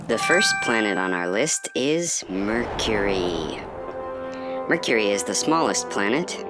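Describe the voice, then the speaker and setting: A quirky little cartoon astronaut , as a woman voices it, tours the planets like a student on vacation. The voice sits remarkably deep and takes its time, breaking into sudden high, squeaky exclamations. Music plays clearly underneath. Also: very animated, a little slow, heavily acted. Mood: cheerful. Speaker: guide narrator. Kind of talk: animated educational cartoon.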